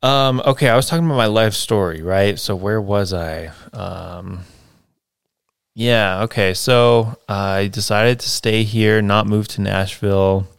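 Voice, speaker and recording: A man's voice: lowish voice, a little slow, creaky, clean tone, no room sound, clean studio sound. A man in his twenties hosting a podcast, talking through his life plans in a loose, rambling way with many fillers and tag questions. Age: 20s. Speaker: podcast host. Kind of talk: casual solo podcast monologue.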